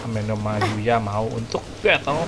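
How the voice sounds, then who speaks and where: A man's voice: lowish voice, measured pace, noisy background. A man with an Indonesian accent giving casual, slangy commentary as a gaming streamer. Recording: game music behind voice. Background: video game music.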